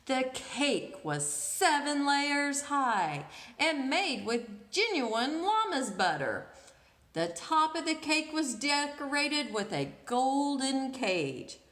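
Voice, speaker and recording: A woman's voice: sits high, big pitch swings, slow, a bit bright. A woman reading a picture book aloud to children expressively, drawing out words and putting questions to her listeners. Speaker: storyteller, children's read-aloud host. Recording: echoey room.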